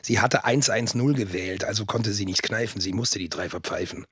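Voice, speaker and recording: An actor playing a dry, mildly mocking police officer in a radio drama monologue, husky, wry and colloquial, in close dry studio sound. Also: male voice, fairly low pitch, moderate pace, a bit bright, no room sound. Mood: wry, scornful.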